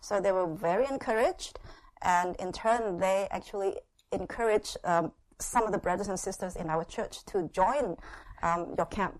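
A middle-aged woman from Indonesia shares her church testimony in short, unhurried phrases, pausing often for an interpreter, with frequent "uh" and "yeah" and light laughs. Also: mid-range voice, poor audio. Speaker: guest speaker sharing her testimony.

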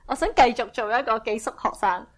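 A woman interpreting a sermon phrase by phrase, speaking in a brisk, fluent and eager way. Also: on the high side.

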